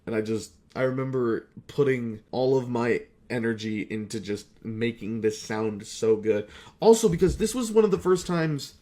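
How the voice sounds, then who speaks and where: A man's voice: mid-range voice, animated, moderate pace, mellow. A young man chatting casually as a video creator, rambling, self-deprecating and swearing freely. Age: young adult. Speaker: amateur voice actor and video creator. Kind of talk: casual rambling retrospective chat.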